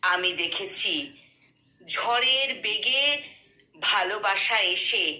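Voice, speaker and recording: A woman gives a dramatic, emotive recitation of a love poem, pausing deliberately between short phrases. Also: a little high, bright, forceful tone. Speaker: poetry reciter. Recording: roomy, echoing sound.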